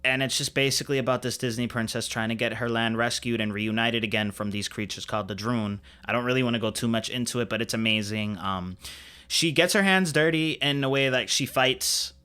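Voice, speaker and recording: Enthusiastic man, bright clear podcast voice. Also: mid-range voice, fairly quick. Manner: enthusiastic, emphatic. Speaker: podcast co-host. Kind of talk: casual film chat.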